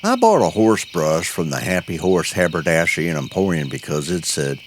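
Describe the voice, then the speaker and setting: A man's voice: deep voice, big pitch swings, normal speed, noisy background, voice pushed down. A man playing a friendly, folksy cowboy mayor welcoming listeners to his little cow town. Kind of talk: scripted audio story.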